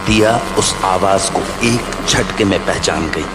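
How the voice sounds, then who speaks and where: A man's voice: low-pitched, a little slow, heavy background noise. A man giving dramatic scripted narration of a horror story. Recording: long reverb under prominent music.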